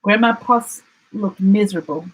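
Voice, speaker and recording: A woman with an Australian accent reads a children's picture book aloud, expressively and with deliberate dramatic emphasis. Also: medium pitch, slightly rough, low-quality recording. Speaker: storyteller.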